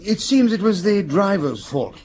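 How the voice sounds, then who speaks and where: A man's voice: sits high, big pitch swings, a little slow, a little mellow, exaggerated voice. A man playing a jovial, evasive village doctor in a radio drama, wry and hesitant in manner. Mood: evasive, amused. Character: jovial, evasive, fond-hearted village doctor, a likeable scoundrel.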